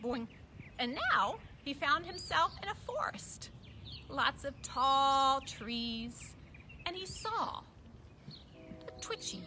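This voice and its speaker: A woman narrating a children's puppet story as puppeteer and storyteller, in an animated style. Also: fairly high pitch. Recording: noisy live recording.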